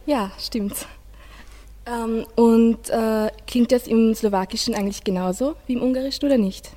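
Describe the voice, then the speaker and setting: A teenage girl, a school student, presenting conversationally with classmates and speaking in a halting way. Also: a little high, steady tone, warm tone. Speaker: school student in a radio project.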